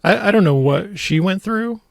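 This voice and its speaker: A man's voice: sits high, expressive, normal speed, vocal fry, dry recording. A man hosting a casual commentary podcast, talking in a dry, wry and blunt way. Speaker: podcast host. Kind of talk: casual conversational political commentary.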